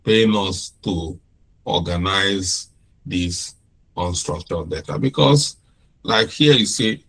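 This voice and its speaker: Slow-talking Nigerian man lecturing. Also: low-pitched, gravelly, a bit bright, husky. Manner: unhurried, repeats and restarts phrases. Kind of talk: informal classroom lecture.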